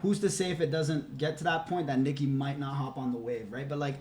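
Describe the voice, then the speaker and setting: A man, a podcast guest, making thoughtful, measured points in casual chat. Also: medium pitch, fairly even intonation, darkish tone.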